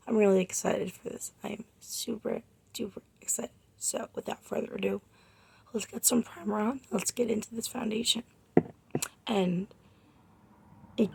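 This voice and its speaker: Young female beauty vlogger, sick and hoarse; heavy fry, very husky, rambling chatty delivery. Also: mid-range voice, darkish tone. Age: 20s. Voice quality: raspy. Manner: rambling, chatty, addresses viewers as guys. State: hoarse from a cold. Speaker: makeup artist and beauty vlogger. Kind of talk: casual chatty makeup tutorial. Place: home, under a makeup light.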